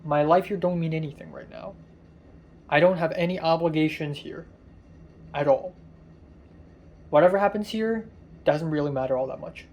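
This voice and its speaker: A tired young man with a raspy voice, rambling through a casual vlog from a hotel room, halting with many ums. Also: fairly high pitch, lo-fi sound. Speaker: vlogger.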